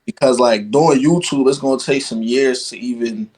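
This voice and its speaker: A man's voice: mid-range voice, normal speed, darkish tone, slightly rough, rough recording. A young man from Guyana, a podcast guest, chatting casually and padding his talk with "you know what I'm saying.". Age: young adult. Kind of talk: casual conversation.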